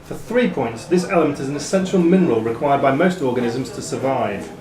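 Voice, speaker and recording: A man reading quiz questions to players as quizmaster, in a measured, formal way with dry asides. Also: mid-range voice. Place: pub room during a live quiz. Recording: echoey pub room, chatter behind.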